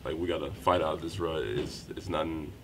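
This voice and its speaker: A young man answering questions at a postgame press interview, speaking in a low-key, measured way with frequent fillers. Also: crowd noise. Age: young adult. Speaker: college basketball player. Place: small indoor room by a wall. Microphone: handheld reporter mics held close.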